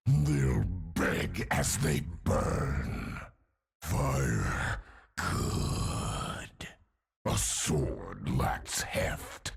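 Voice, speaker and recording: An actor playing a hulking, bloodthirsty undead warlord from a video game, with a guttural, raspy voice and a grim, menacing delivery. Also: male voice, extremely low pitch, heavily acted. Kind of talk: video game character voice lines.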